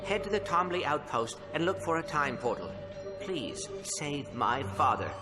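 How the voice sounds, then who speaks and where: An actor playing a small, polite, earnest robot sidekick in a video game, with precise, formal diction. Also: male voice, a little high, expressive, measured pace, big-room sound, music in the background. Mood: earnest, pleading. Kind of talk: video game cutscene acting.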